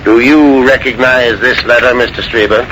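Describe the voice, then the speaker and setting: An actor playing a formal, matter-of-fact police inspector in a 1940s radio drama, measured and dry. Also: male voice, mid-range voice, fairly even intonation, lightly acted. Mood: probing. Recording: hissy 1940s radio recording.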